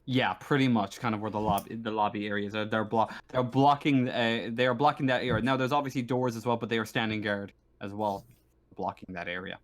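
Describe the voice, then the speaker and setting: A man's voice: on the low side, steady tone, fairly quick. A man improvising narration as a tabletop game master, hesitantly, often stumbling and repeating words.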